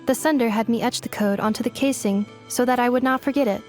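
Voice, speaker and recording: A woman voicing a calm, literal-minded young female game character with a measured, formal, matter-of-fact delivery. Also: on the high side, faint music behind, natural voice. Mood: flat, earnest. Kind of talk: video game voice acting.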